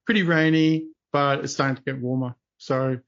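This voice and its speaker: A man's voice: mid-range voice, fairly even intonation, moderate pace, highs cut off. A man hosting a podcast interview, chatty and rambling with lots of restarts and fillers. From Australia. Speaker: podcast host. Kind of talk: casual interview chat.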